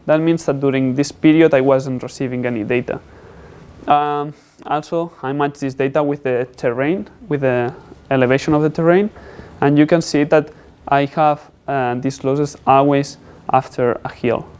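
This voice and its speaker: A man giving a student technical presentation in halting, English. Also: medium pitch, steady tone, darkish tone, clean tone. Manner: halting, non-native English phrasing. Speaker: student presenting a capstone project.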